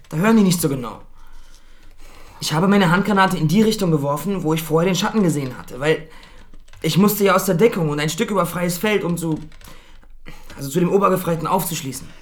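A man playing a defensive, rattled soldier under interrogation in a radio drama, terse, halting and evasive. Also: high voice, animated, a bit bright. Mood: agitated, defensive.

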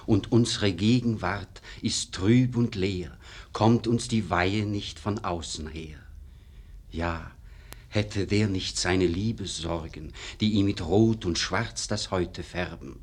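An actor playing a brooding, self-doubting disciple in a 1950s radio verse drama, speaking grave, formal stage German. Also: male voice, deep voice, slow pace, breathy edge, full character voice. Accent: standard stage German.

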